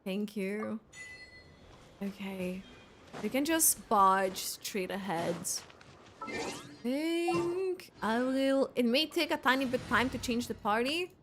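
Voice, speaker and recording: A woman's voice: a little high, animated, takes it slowly, fairly bright tone, slight background noise. A woman doing casual livestream game commentary, chatting to viewers and thinking aloud as she plays. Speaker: game streamer.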